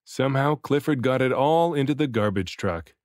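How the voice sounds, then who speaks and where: A man reading a children's picture book aloud as an expressive storybook narrator, varying his delivery for emphasis. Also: mid-range voice, normal speed, no echo, clean studio sound. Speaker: children's book reader, dad and voice actor.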